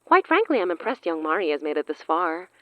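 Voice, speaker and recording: An actress playing a cunning, self-assured sorceress in an audio drama, speaking in a theatrical, silky, condescending way. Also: female voice, on the low side, sing-song, fairly quick, no echo, highs cut off. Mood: smooth, commanding. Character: cunning, self-assured woman sorceress offering a dangerous pact.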